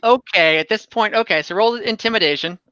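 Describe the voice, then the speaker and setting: A game master with a bright, forceful voice runs a tabletop roleplaying session in a conversational, explanatory way. The pitch sits mid-range, and the audio is dry but slightly dull, like an online call. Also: fairly quick, clean tone, no echo.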